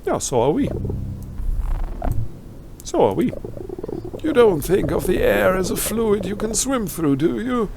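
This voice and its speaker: A man's voice: high-pitched, sing-song, unhurried, gravelly, long pauses, crowd noise. A man with a German accent doing a let's play, reading game text aloud and adding wry, ironic asides. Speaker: let's player.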